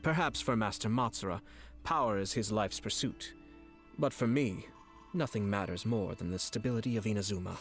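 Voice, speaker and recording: Man playing a lowish, poised, shrewd young nobleman. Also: natural voice. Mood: measured, calculating. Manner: measured, formal, composed. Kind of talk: video game voice acting. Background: game music.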